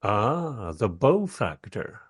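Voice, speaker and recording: A man's voice: fairly low pitch, big pitch swings, extremely slow, slightly rough, exaggerated voice. An actor playing a wry, skeptical man who narrates his own adventure in a radio play, with a dry storytelling manner. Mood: skeptical, curious.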